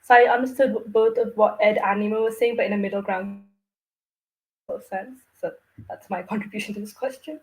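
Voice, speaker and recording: A woman from India with a medium-pitched voice. She talks reflectively, as a panellist answering a question on a video call. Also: steady tone, fairly quick, a little mellow, low-quality recording.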